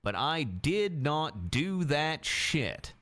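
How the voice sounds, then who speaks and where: Young guy doing dramatic courtroom roleplay reading, theatrical, pitch goes all over, mid range. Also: very animated, drawn-out words, fairly bright tone.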